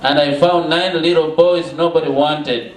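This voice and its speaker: Higher-pitched Ugandan man speaking slowly, echoey room. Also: steady tone. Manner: deliberate, with emphatic repetition. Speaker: guest speaker, founder of children's schools. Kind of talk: public storytelling address. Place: indoor cafe gallery open mike.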